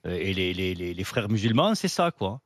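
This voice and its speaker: A man's voice: low voice, big pitch swings, normal speed, a bit bright, no room sound, clean studio sound. A man speaking as a radio talk show panelist, blunt and colloquial, often ending phrases with a casual "quoi". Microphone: studio microphone.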